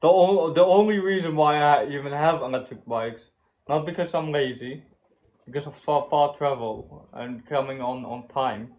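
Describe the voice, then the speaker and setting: Young man, halting casual chat. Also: on the high side, darkish tone, rough recording. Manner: halting, searching for words. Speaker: co-host in a story chat.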